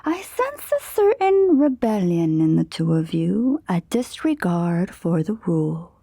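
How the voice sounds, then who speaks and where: A woman playing a seductive, menacing vampire queen in an audio drama, slow and taunting. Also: fairly low pitch, very animated, mellow, dry recording, full character voice. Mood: sly, taunting.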